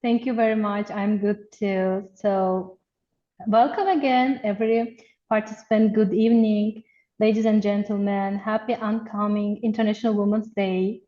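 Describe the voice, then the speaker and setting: A woman hosting an online event, giving an earnest, measured, formal welcome over a video call. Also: medium pitch, fairly even intonation. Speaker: webinar host. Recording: dull, lo-fi video call audio.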